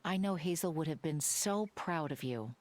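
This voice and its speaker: A woman voicing a kindly, gentle villager in a video game. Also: deep voice, lively intonation, moderate pace, dry recording. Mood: kind, apologetic.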